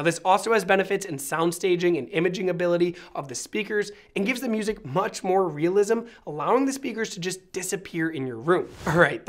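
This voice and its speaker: An American man in his thirties giving a scripted review to camera with polished, articulate presenter delivery. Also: high voice. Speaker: presenter of an audio product review. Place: small indoor studio set.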